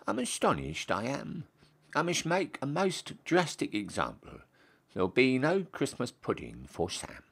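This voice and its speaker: Man putting on a high-pitched pompous Duke. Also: huge pitch swings, a little slow, slightly rough, heavily acted. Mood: indignant. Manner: pompous, aggrieved. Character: haughty, ruthless male Duke, an army general.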